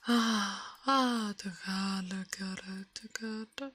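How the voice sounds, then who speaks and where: Breathy whispering woman doing ASMR. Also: medium pitch, slow, a bit bright, dry recording. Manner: murmured, repetitive nonsense syllables and trigger sounds. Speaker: ASMR livestreamer. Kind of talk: ASMR livestream with soft whispering.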